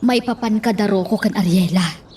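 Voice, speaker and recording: A woman's voice: medium pitch, moderate pace, a little mellow, husky, distant mic. A woman acting in an amateur radio drama, urgently telling someone to get ready and leave.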